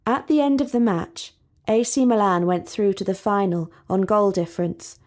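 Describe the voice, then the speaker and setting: A woman narrating a storybook for English learners in a clear, measured, deliberate way. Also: mid-range voice, expressive, darkish tone.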